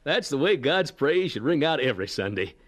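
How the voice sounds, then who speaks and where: A benevolent country preacher in a radio play. His middle-pitched voice is hearty and very animated as he exhorts like a fond father. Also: fairly quick. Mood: hearty, fatherly. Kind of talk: radio drama acting.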